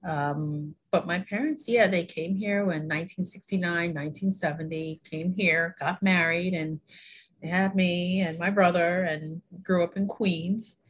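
Interview guest: husky, lower-pitched woman in her forties, earnest and chatty. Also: steady tone, unhurried. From New York, USA. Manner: warm, earnest, laughs often, many fillers. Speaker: guest, health education consultant. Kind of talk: casual interview conversation.

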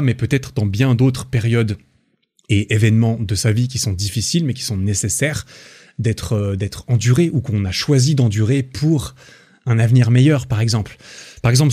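A young man from France hosting a solo podcast, talking in a relaxed, rambling way with lots of fillers and restarts. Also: mid-range voice, slightly rough. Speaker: podcast host. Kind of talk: casual solo podcast monologue.

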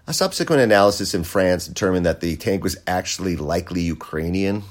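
A sarcastic American man rants through a podcast monologue, swearing freely. His voice sits fairly low but swings widely up and down as he mocks and jabs. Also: animated, fairly quick. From United States. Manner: sarcastic, profane ranting. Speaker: podcast host. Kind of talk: opinion monologue.

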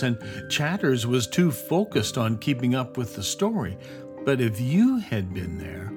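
A male narrator tells a children's adventure story at an unhurried pace. His delivery is expressive, with lively rises and falls in a medium-pitched voice. Music plays softly beneath him. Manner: expressive, measured. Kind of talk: scripted storytelling narration for a children's audio drama.

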